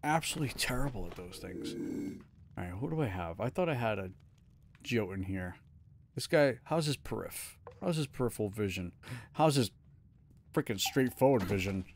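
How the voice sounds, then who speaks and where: A man's voice: on the low side, expressive, moderate pace, long pauses, a little hiss or hum. A man in his thirties streaming a game live from a small room at home, muttering casual, self-mocking commentary to himself as he plays. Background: game music.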